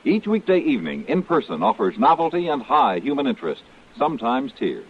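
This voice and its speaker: A man's voice: mid-range voice, huge pitch swings, a little fast, slight background noise. A man announcing a scripted network promo in polished, emphatic 1950s radio style. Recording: old radio, narrow phone-like band.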